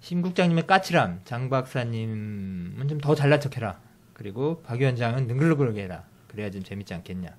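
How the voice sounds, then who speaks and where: A middle-aged man hosting a casual podcast. He talks in a halting way with many fillers and restarts, reading out listener comments and news. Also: medium pitch, animated, a little mellow. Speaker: podcast host.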